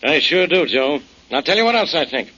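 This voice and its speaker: Loudmouth dim american cop, highish animated voice, muffled 40s radio show. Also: male voice, fairly quick, heavily acted. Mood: blustering. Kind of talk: 1940s radio drama acting. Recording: muffled 1940s radio recording.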